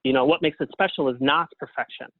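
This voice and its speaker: A man's voice: fairly high pitch, quick pace, no echo. A middle-aged man speaks as a guest in a casual interview. His voice comes through a thin, phone-like connection with very little room sound. He tells things modestly, poking fun at himself. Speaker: guest playwright. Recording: narrow phone-like line.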